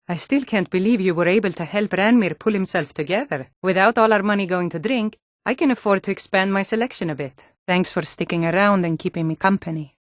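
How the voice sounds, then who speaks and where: A woman's voice: on the low side, no room sound, clean studio sound, lightly acted. An actress playing a tough, plain-spoken, world-weary Nord townswoman in a fantasy video game. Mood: grateful. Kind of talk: video game character dialogue.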